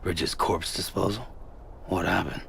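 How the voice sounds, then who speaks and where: An actor playing a gruff, reluctant loner deliveryman in a game drama, terse and blunt with a gravelly voice. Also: deep voice, steady tone, unhurried, slight background noise, full character voice. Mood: guarded. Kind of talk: dramatic video game cutscene acting. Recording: loud cinematic music underneath.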